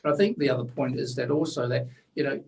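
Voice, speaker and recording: A man's voice: mid-range voice, fairly even intonation, fast, vocal fry, recorded from a distance, crowd noise. A man, blunt and opinionated, explaining a tax rule in casual chat as co-host, a little away from the mic.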